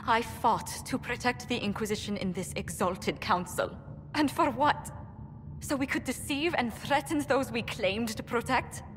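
A woman's voice: on the high side, unhurried, lightly acted. An actress playing a principled, frustrated female diplomat who speaks formally and earnestly in a video game. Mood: indignant. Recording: game audio with long reverb and music.

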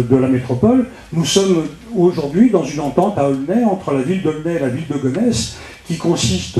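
A man makes a halting public-meeting speech into a handheld microphone, often repeating words as he addresses an official. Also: on the high side, mellow. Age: 60s. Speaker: local politician speaking from the floor at a public debate. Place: indoor meeting room with seated audience. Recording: roomy, echoing public meeting hall.

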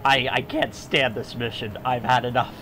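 A man's voice: mid-range voice, fairly even intonation, normal speed, sharp tone, a little hiss or hum. A man giving casual, exasperated commentary while playing a video game, in a hesitant way. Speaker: gamer recording a playthrough.

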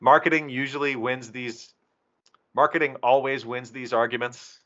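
A man's voice: medium pitch, steady tone, moderate pace, a bit bright. A man giving a conversational, explanatory slide walkthrough as a presenter, with emphatic phrasing, over a video call. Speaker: presenter from a software documentation team. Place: online video meeting. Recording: dull, compressed video call audio.

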